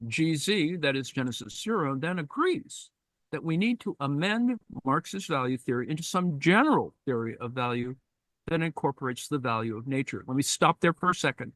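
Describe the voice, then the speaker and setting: A man reading a text aloud in a live-streamed discussion and commenting on it, thoughtful and halting, with many fillers and asides. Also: a little high, animated, fairly bright tone, dry recording, low-quality recording.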